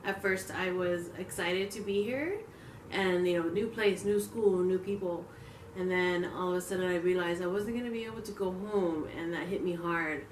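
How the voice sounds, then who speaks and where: Woman from Hawaii, reflective interviewee, lowish voice, echoey room with the mic set back. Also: normal speed, far from the mic, a little hiss or hum. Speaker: community leader interviewee.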